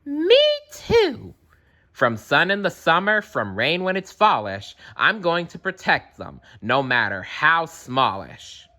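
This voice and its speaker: A man voicing a tiny young kangaroo riding in his mother's pouch, in a squeaky, chirpy storybook voice. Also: wildly swooping pitch, slow pace, bright, forceful tone, heavily acted. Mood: eager.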